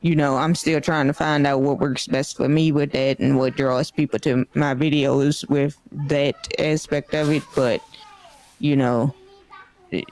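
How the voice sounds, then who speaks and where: A woman in her late twenties, a streamer guest, explaining her work in a measured way with many fillers. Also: low-pitched, fairly even intonation, a little mellow. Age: 20s. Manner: measured, explanatory, full of "you know". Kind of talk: casual interview chat.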